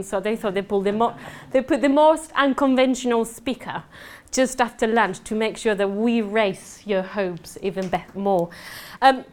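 A woman from southern Spain with a Spanish accent, giving a fast, chatty, joking networking presentation to a room. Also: a little high, smooth. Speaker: networking speaker. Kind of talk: lively conference presentation. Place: conference room. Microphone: mic at a talk.

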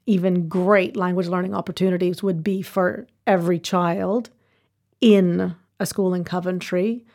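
A woman's voice: fairly low pitch, steady tone, a little slow, a little mellow. Earnest woman explaining education policy. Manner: earnest, explanatory, lists points in order. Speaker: interview guest, language education expert. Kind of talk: measured conversational explanation.

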